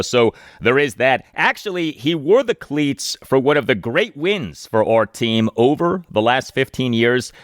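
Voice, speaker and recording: A man's voice: mid-range voice, no echo. Male American sports podcast host, dry sound. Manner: emphatic, deliberate announcer delivery with repeated phrases. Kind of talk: sports podcast monologue, reading listener mail.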